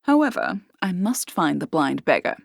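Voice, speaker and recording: A woman voicing a calm, courteous young man who speaks in a measured, dryly amused way. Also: sits low, gravelly, no echo, clean studio sound, full character voice. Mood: polite. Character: calm, wry young man, gentlemanly brother.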